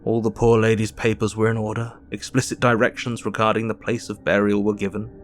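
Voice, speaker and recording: An actor with an English accent playing an earnest, grieving Victorian doctor, narrating his diary in measured, formal speech for an audio drama. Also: fairly low pitch, little pitch movement, lightly acted. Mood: sober, measured.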